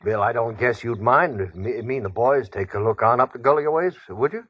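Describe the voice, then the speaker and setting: An actor playing a sly, folksy prospector in a radio western, with an American Western drawl and rustic, cajoling talk. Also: male voice, medium pitch, brisk, no echo, lightly acted. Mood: guarded, smooth. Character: sly, folksy old-West prospector, hard-bitten tall-tale teller. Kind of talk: old-time radio western acting. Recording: dull vintage radio recording.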